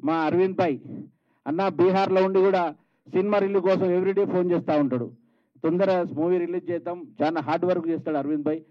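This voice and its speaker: Male film producer, Telangana Telugu accent, slightly high pitch, conversational stage speech, lo-fi event audio. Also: flat delivery, fast talker, dry recording. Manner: calls people out by name, conversational. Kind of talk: informal public thank-you speech at a film event. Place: film trailer launch stage.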